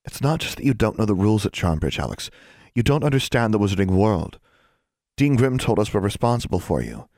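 A man voicing a shy, caring schoolgirl witch in a story, soft and earnest. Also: lowish voice, very animated, a little fast, dry recording, clean studio sound, voice pushed up. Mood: worried.

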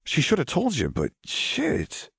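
A man performs a brash young man from the rough side of the city in an audiobook. He talks in casual, emphatic slang, exasperated and wry. The sound is close and dry. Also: fairly high pitch, wildly swooping pitch, measured pace, fairly bright tone, no room sound, pitched above the performer's own voice, full character voice. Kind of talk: audiobook character dialogue.

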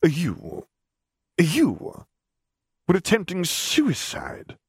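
A man voicing a haughty, menacing male stranger with a formal, measured manner, in an audiobook. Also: high voice, wildly swooping pitch, breathy edge, no room sound, studio quality, voice pushed up, heavily acted. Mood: affronted, incredulous. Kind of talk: audiobook character voice.

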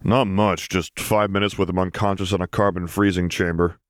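A man voicing a cocky middle-aged smuggler with a gruff, sardonic, grumbling way of talking in an audiobook story. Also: sits low, level tone, moderate pace, dry recording, clean studio sound, natural voice.

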